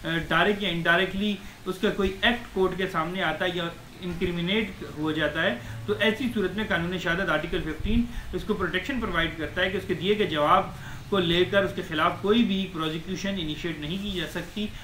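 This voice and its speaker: High, bright-voiced man lecturing. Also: fairly quick. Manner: didactic, repeats points, mixes in English legal terms. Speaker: advocate giving law lessons. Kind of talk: explanatory law lecture in plain terms. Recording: echoey room with faint noise.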